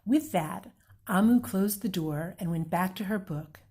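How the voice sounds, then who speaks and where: Woman storytime reader, gentle and unhurried, breathy tone. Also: mid-range voice. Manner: slow, gentle storytime reading, pointing out pictures. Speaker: read-aloud reader. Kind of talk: children's picture book read-aloud.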